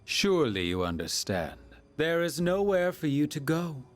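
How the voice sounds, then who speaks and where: An actor playing a calm, coldly soothing cult-leader villain in a video game, formal, measured and persuasive. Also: male voice, on the high side, faint music behind. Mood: quietly menacing.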